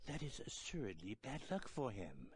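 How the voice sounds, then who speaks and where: An actor playing a polite, sinister old shopkeeper with a husky voice and a formal, cryptic manner in a video game. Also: male voice, on the low side, very animated, moderate pace. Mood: pleased, sly.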